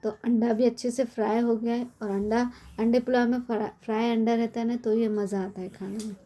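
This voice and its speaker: A middle-aged woman with a hoarse, cold-worn voice, chatting casually as she explains a home recipe step by step in her kitchen. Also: a little high, little pitch movement, brisk, dark tone. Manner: chatty, explains step by step with frequent tag questions. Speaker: cooking channel host. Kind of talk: casual cooking tutorial.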